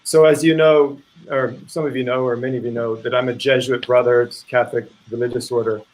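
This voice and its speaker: A man giving an informal spoken introduction to an online play reading as its playwright, halting and thinking aloud. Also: medium pitch, little pitch movement, low-quality recording.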